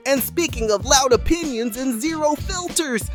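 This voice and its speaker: A woman's voice: high-pitched, lively intonation, normal speed, soft background music. A woman voicing a chirpy, wry puppet host in a scripted comedy show, addressing the audience theatrically. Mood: upbeat, wry.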